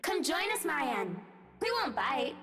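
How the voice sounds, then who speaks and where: A woman's voice: high voice, sing-song, unhurried, a bit bright, pitched above the performer's own voice, exaggerated voice. A woman voicing flirtatious ghostly ladies who tease and coax. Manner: coy, taunting. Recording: echoey, from far off.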